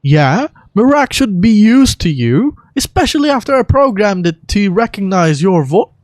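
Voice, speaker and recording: A man voicing a friendly, excitable young male inventor in a game, eager and expressive. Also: high voice, normal speed, dry recording, clean studio sound, voice pushed up. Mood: exasperated, fond. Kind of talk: game character voice acting.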